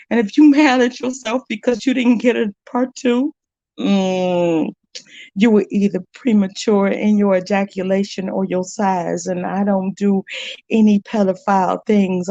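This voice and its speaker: A woman's voice: mid-range voice, mellow, no room sound. A middle-aged woman performing spoken word poetry with a bold, sassy, rhythmic delivery. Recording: dull, dry, lo-fi sound.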